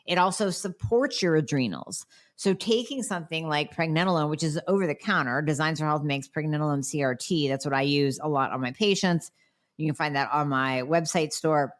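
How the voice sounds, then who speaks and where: A woman doctor hosting a podcast, answering listener questions in a blunt, emphatic way with sarcastic asides, sounding nasal as she gets over a cold. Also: low-pitched. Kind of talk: solo podcast Q&A.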